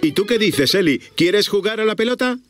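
A man's voice: high voice, expressive, normal speed. A man with a Castilian Spanish accent narrating a preschool cartoon, talking playfully to the characters and expressively to small children. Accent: Castilian Spanish from Spain. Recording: children's music behind the voice.

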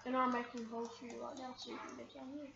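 A woman playing a game with a friend, playful and teasing with quick retorts, recorded in a room off a TV. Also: on the high side, level tone, crowd noise. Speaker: gamer. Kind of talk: casual gaming chat. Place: room filmed off a TV screen. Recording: echoey lo-fi room recording with clear noise.

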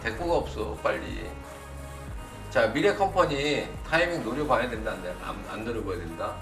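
A middle-aged man giving live stock commentary as a host, blunt and informal, often putting quick questions to his viewers. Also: medium pitch, animated. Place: indoor desk at a computer, livestream setup. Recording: roomy, distant mic, faint music behind.

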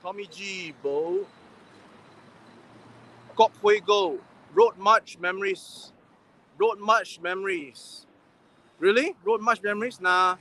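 Bright-voiced man hosting a street livestream. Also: high-pitched, takes it slowly, pauses often. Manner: chatty, reads viewer comments aloud. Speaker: livestream host. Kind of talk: casual livestream chat. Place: busy city pedestrian street outdoors. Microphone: gimbal-mounted phone at arm's length. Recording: faint street noise.

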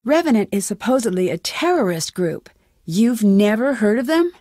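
A woman's voice: fairly high pitch, very animated, moderate pace, mellow, dry recording, studio quality. A woman voicing a determined, suspicious young amateur detective in a video game, with an earnest, questioning manner. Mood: insistent.

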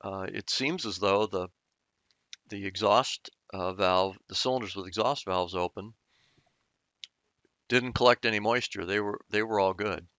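A man's voice: fairly low pitch, a little slow, pauses often, fairly bright tone, dry recording. A man explaining engine diagrams in an unscripted voice-over, thoughtful and hesitant with many fillers and restarts. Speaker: channel host explaining engine repair.

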